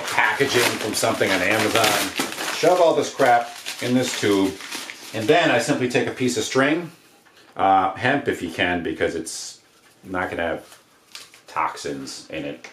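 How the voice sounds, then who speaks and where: American male DIY presenter, low-pitched, casual and rambling, recorded in an echoey living room. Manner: offhand, slangy, rambling. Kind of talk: casual hands-on how-to demonstration. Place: indoors, living room by wood stove. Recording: roomy echo, noise of handled objects.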